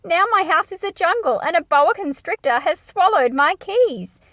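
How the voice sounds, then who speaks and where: A woman reading the part of a crumpled older lady in a picture book, making do cheerfully in a matter-of-fact, expressive way. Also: extremely high pitch, normal speed, no room sound, clean studio sound, voice pushed up, heavily acted. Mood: explaining. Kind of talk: picture book read aloud.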